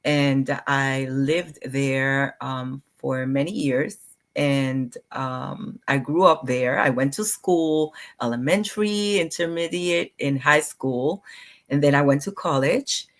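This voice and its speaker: A middle-aged woman from Puerto Rico, a clinical psychologist, speaking softly and unhurriedly in an interview conversation. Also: a bass voice, slow.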